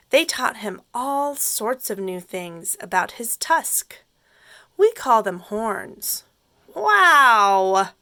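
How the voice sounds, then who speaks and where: A woman teacher reading a children's story aloud expressively, pausing to explain things simply for young listeners. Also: medium pitch, a bit bright, no echo.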